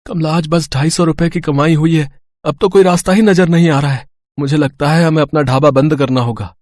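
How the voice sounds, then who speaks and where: A man's voice: a little high, a little fast, a little mellow, dry recording, clean studio sound. A man voicing a poor, worried roadside eatery owner in a storybook drama. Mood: despairing. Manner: worried, weary. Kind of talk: storybook voice acting.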